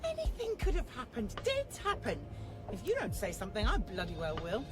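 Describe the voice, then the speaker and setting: A woman's voice: fairly high pitch, huge pitch swings, brisk, music in the background. An actress playing an exasperated, reproachful mother and wife in a naturalistic radio drama, with a pointed manner.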